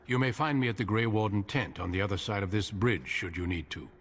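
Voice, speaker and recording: An actor playing a grave middle-aged warrior commander in a fantasy game, speaking in a measured, solemn, formal way. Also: male voice, lowish voice, slight background noise, natural voice. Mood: calm, instructive. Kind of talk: fantasy video game voice acting.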